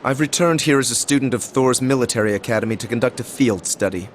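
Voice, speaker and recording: A man's voice: medium pitch, quick pace, a little hiss or hum, natural voice. An actor playing a stiff, haughty young nobleman at a military academy in a game, speaking in a proud, formal, clipped way. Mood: stern, displeased. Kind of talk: video game cutscene acting.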